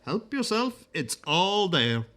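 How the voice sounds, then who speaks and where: Actor playing a fussy, lilting Irish singer. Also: male voice, high-pitched, sing-song, slow, pushed and bright, exaggerated voice. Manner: genteel, hesitant, fussy. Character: celebrated Irish male singer, convalescent, fussy, genteel and earnest. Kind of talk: radio drama dialogue.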